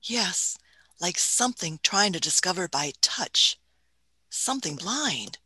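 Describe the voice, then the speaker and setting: A woman's voice: fairly low pitch, big pitch swings, slow pace, sharp tone, slightly rough, dry recording, full character voice. A woman playing a fussy, pompous time-travelling scientist in a radio play, with old-fashioned phrasing. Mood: hushed, wary.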